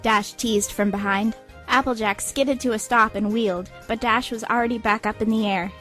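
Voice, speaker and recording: High-voiced woman narrating a story. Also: steady tone, normal speed, fairly bright tone, faint music behind.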